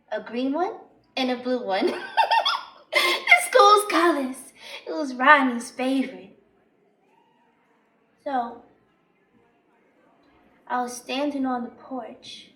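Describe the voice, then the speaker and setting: A woman playing an embittered, unhinged young woman jealous of her sister, telling her story slowly and swinging into bitter outbursts in a dramatic stage monologue. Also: a little high. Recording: roomy echo, distant microphone.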